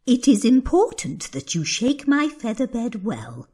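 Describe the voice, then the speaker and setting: Woman as a slow, husky, kindly old woman. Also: on the high side, big pitch swings, warm tone, full character voice. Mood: approving, instructive. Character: small, kindly old woman with magical powers. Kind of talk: children's fairy tale dramatisation.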